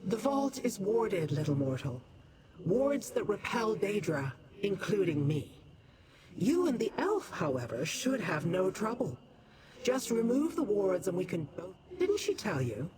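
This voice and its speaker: Female demon, video game, haughty, teasing, deliberate, widely swinging pitch, crowd-like background sound. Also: sing-song. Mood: haughty, amused. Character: female Dremora demon, haughty, amused, condescending to mortals. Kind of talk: video game character acting.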